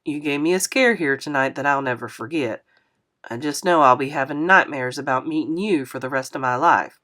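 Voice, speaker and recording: A kind older man who has raised kids and grandkids, teasing in a dry, fatherly way, as a woman reads him lower and softer. He sounds like an audiobook character. His lines land as wry jokes from a gentle storyteller. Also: brisk.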